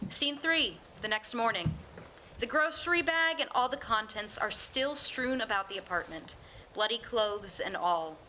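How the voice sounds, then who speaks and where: A woman's voice: a little high, lively intonation, unhurried, pushed and bright, a little hiss or hum. A woman reading stage directions as scripted narration for a staged play reading.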